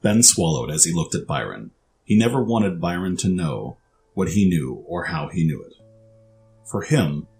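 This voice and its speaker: A man narrates a dramatic story in a very low-pitched voice. Faint music plays beneath his scripted telling. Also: a little mellow.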